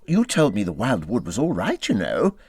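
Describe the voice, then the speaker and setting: A man's voice: fairly low pitch, wildly swooping pitch, normal speed, darkish tone, slightly rough, dry recording, clean studio sound, heavily acted. A man voicing an eager, hopeful little Mole who coaxes and questions, as a storybook character. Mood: persistent. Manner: coaxing, questioning. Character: eager, hopeful Mole, small persistent animal.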